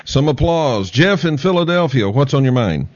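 A man hosting a call-in radio show, talking in a halting way with many pauses and fillers. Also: medium pitch, lively intonation, lo-fi sound, a loud crowd, very noisy. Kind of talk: late-night call-in radio talk.

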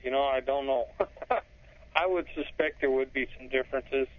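A man's voice: mid-range voice, steady tone, normal speed. A male guest on a talk show who speaks in a tentative, hedging way. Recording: phone-like line.

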